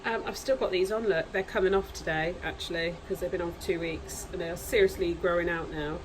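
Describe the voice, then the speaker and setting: A woman's voice: on the low side, fairly even intonation, fairly quick, noisy background. A woman with hay fever, chatting casually and gushing as she unboxes nail products at home. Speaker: nail vlogger. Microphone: camera mic, voice off camera.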